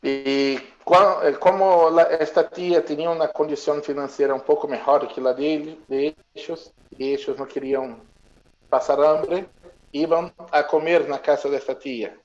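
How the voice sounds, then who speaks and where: A man interpreting in consecutive fashion, mixing two languages with a halting manner. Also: on the high side, steady tone, lo-fi sound. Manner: mixes Spanish and Portuguese, halting.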